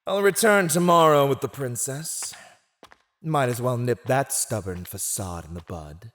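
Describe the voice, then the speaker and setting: A man's voice: mid-range voice, measured pace, fairly bright tone, close to an everyday voice. A man playing a silver-tongued villain in an ASMR roleplay, condescending, theatrical and seductively persuasive as he teases a captive. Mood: smug, tempting. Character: silver-tongued, teasing male villain gloating over a captive. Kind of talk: scripted ASMR roleplay acting.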